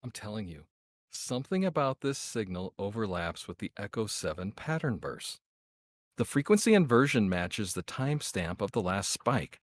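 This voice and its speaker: A man's voice: on the low side, sing-song, normal speed, dry recording. A man playing an earnest, practical agent in a sci-fi comedy table read, with an intense, technical manner. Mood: insistent.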